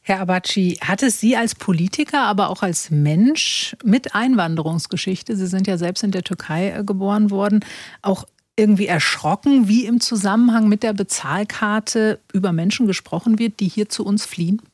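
A radio host moderating a call-in discussion, friendly and brisk, guiding guests with questions. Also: mid-range voice, no echo, studio quality.

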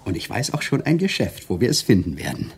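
A manipulative, silver-tongued male swindler in love, with a low voice. His delivery swings widely, theatrical and intimate, slipping into murmurs, in the manner of a radio crime play. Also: huge pitch swings, quick pace, gravelly, slightly rough, heavily acted. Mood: seductive. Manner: intimate, theatrical, often drops to murmurs and whispers. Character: smooth-talking male conman lover, self-pitying, manipulative. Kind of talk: German radio crime drama acting.

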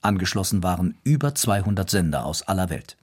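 Man, fairly low voice, scripted essayistic commentary, documentary reading. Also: very animated, a little fast, slightly rough. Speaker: documentary reader.

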